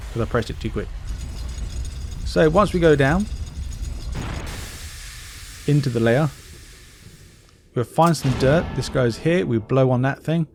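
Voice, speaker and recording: A man's voice: medium pitch, background noise. A man giving a casual video game walkthrough, offhand, often correcting himself and slipping in joking asides. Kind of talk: casual gameplay walkthrough commentary.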